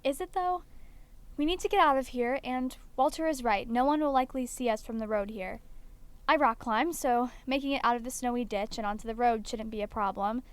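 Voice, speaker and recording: A woman's voice: fairly high pitch, fairly quick, clean tone, natural voice. A woman acting as a brave, hopeful young student in an amateur audio drama, earnest and determined.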